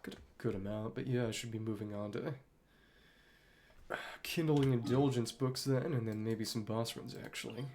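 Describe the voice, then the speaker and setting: A man's voice: mid-range voice, steady tone, moderate pace, background noise. A man giving casual video game commentary, thinking aloud and murmuring to himself as he plays. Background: video game music.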